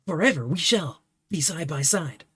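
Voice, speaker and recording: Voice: mid-range voice, expressive, moderate pace, fairly bright tone, slightly rough, no room sound, clean studio sound. A reader playing Burnett, a lovestruck swordsman in a stage tragedy, declaiming in bombastic, archaic speech. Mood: feverish. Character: grief-stricken lovestruck swordsman, theatrical.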